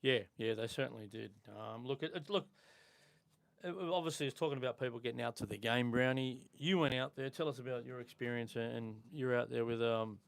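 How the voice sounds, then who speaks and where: An Australian man hosting a live sports podcast, chatting in a relaxed way with frequent ums and restarts. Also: mid-range voice, brisk, vocal fry. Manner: relaxed, conversational with fillers. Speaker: podcast host. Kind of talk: casual sports chat.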